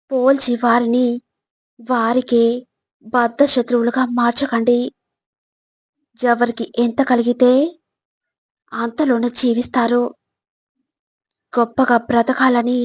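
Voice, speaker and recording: A woman reading scripted motivational narration in a measured, instructive way, phrase by phrase. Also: fairly high pitch, monotone, no room sound.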